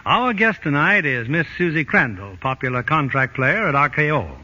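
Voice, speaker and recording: A man's voice: fairly high pitch, lively intonation, normal speed, pushed and bright. A man hosting a 1940s radio show with scripted, formal announcing. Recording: muffled, noisy old radio recording.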